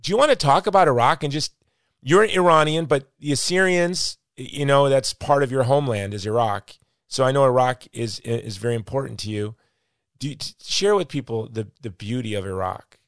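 A man's voice: medium pitch, a little fast, a bit bright, no room sound, clean studio sound. A middle-aged man hosting a conversational interview in a reflective way, repeating phrases for emphasis. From United States. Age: late 40s to 50s. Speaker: interview host.

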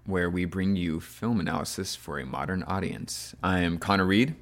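A man with a low voice hosts a film discussion. His phrasing is loose and conversational, like someone chatting with friends while steering the show. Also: moderate pace, faint music behind. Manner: informal, loose phrasing full of hedging "like". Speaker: podcast host.

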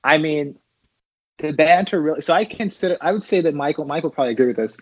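A man being interviewed as a podcast guest, chatting casually in a loose, bantering, halting way. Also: on the high side, slightly rough, dull audio.

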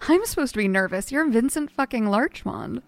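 A woman's voice: fairly high pitch, lively intonation, normal speed. An actress playing a candid, sarcastic, questioning female biographer in a naturalistic sci-fi audio drama. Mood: teasing, nervous.

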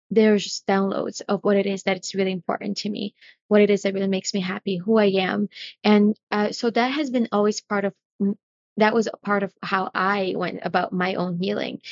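A woman's voice: mid-range voice, no echo, muffled recording. A woman from Brazil, a guest in an interview, talking in an earnest, searching way with many fillers and restarts. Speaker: guest, retreat facilitator. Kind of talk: reflective conversational interview.

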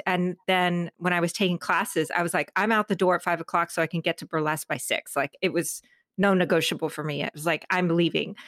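A middle-aged woman talking casually as an interview guest, telling stories in an animated, effusive way and acting out bits of dialogue. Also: fairly low pitch, dry recording, clean studio sound. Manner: animated, effusive, re-enacts dialogue, laughs often. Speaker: podcast guest, author and creative.

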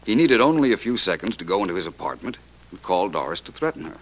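An actor playing a suave, self-assured amateur detective in an old radio drama, urbane, dryly witty and commanding. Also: male voice, on the low side, very animated, fast. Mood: playful, explanatory. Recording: noisy old radio play recording.

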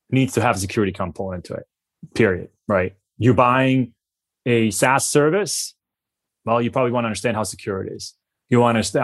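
A man in his forties with a Swiss accent, speaking as an expert on a panel and stressing his points with a tag of "right?". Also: fairly low pitch. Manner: emphatic, peppers points with "right?". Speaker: CIO and security expert. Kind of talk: panel discussion.

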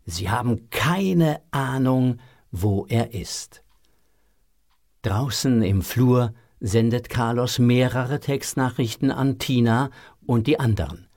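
A man's voice: medium pitch, darkish tone. A man narrating a scripted audio story for children.

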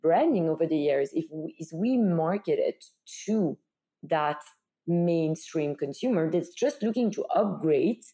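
A woman's voice: low voice, animated, a little slow, warm tone. A woman from Belgium with a Belgian accent, answering interview questions as a brand founder in a conversational, explanatory way, often posing rhetorical questions.

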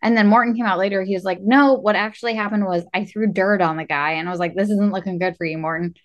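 A woman's voice: medium pitch, quick pace, highs cut off. A woman co-hosting a podcast in casual chat, retelling things animatedly by acting out what people said. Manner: animated retelling with quoted dialogue. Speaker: podcast co-host.

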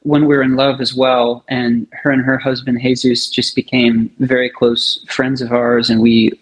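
A man's voice: mid-range voice, level tone, a little slow, low-quality recording. Man, mid-range voice, musician guest, casual interview chat, enthusiastic delivery.

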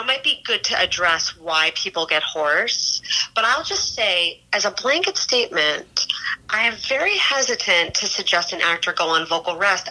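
A woman's voice: lowish voice, pushed and bright, poor audio. A middle-aged woman voice teacher, calling in as a guest, explaining vocal health in a thoughtful, frank way that often corrects itself mid-sentence. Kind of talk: explanatory chat. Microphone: remote call-in mic.

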